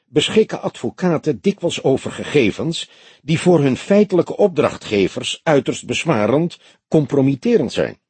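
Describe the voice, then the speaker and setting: A man voicing a grey-haired veteran police detective in an audiobook, old-fashioned, formal and deliberate, with a dry, probing way. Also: mid-range voice, sing-song, a little mellow, breathy edge, no room sound, voice pushed up, heavily acted. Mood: probing, pointed. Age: older.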